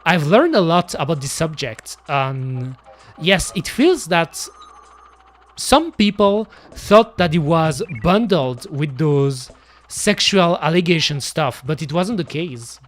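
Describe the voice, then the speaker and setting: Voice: medium pitch, big pitch swings, takes it slowly. Gaming streamer, English, loose rambling chatter full of fillers and casual cursing. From Belgium. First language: French. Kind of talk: casual chat while playing.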